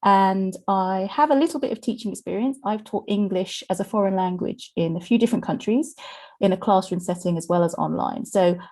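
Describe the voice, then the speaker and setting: A woman's voice: medium pitch, brisk, a little mellow. Woman, webinar host, chatty, filler-heavy, video call. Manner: chatty, with many fillers and small laughs. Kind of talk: presenting a webinar.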